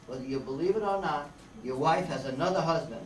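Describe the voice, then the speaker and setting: A man's voice: fairly high pitch, animated, brisk, lightly acted. A man playing a slangy, wisecracking hard-boiled tough guy in an amateur radio detective play. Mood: blunt. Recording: distant echoey room, faint noise.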